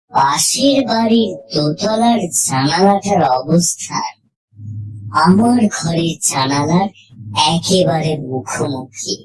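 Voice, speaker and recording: Female horror audiobook narrator, lower register, very unhurried, deliberate phrasing. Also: low-quality recording. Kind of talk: scripted horror story narration, first person.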